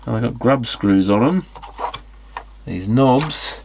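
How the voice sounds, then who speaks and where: A man's voice: mid-range voice, lively intonation, takes it slowly, creaky, noisy background. A man giving casual, unscripted teardown commentary on electronics, musing and thinking aloud as he examines things. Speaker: electronics repair hobbyist presenting a teardown.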